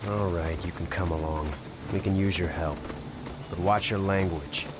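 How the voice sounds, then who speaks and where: A man's voice: sits low, fairly even intonation, moderate pace, noisy background, close to an everyday voice. A man playing a wary, earnest hero in a video game, speaking in a direct, questioning way. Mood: firm, indignant. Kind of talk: dramatic video game cutscene acting. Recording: music behind.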